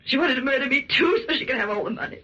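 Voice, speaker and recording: A woman's voice: high voice, lively intonation, very fast, pushed and bright, heavily acted. An actress playing a frightened, hysterical woman haunted by her torment, melodramatic and breathless, in old-time radio suspense style. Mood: shaken triumph. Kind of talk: old-time radio suspense acting, first-person narration. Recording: noisy, dull vintage radio recording.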